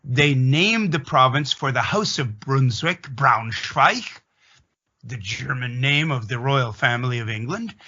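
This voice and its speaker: Bright, forceful Canadian man, dull audio. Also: mid-range voice, animated, husky. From Atlantic Canada. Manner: opinionated, emphatic, deliberate storytelling. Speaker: interviewee. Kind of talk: extended explanatory monologue in an interview.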